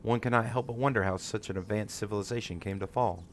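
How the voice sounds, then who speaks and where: A man's voice: fairly low pitch, fairly even intonation, fast, a little hiss or hum, lightly acted. A man voicing an earnest, inquisitive scholar in a fantasy game, with formal, musing, theatrical phrasing. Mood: pensive. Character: earnest, inquisitive scholar exploring ancient ruins. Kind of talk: read-aloud fantasy game dialogue.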